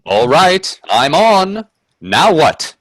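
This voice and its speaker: An actor playing a nervously polite, incredulous gentleman guest in a radio play, stammering and deferential. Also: a little high, big pitch swings, extremely slow, full character voice. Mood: nervous, puzzled. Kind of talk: radio play acting with narration.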